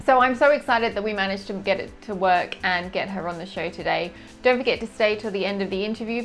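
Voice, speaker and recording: A woman's voice: mid-range voice, a bit bright. Upbeat woman host over faint music. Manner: enthusiastic, upbeat presenter. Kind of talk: show introduction.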